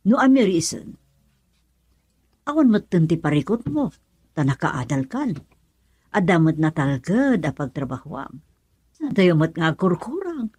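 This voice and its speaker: A woman's voice: lowish voice, big pitch swings, measured pace, long pauses, darkish tone, breathy edge, no echo, full character voice. A woman with an Ilocano accent playing a scolding middle-aged mother in a radio drama.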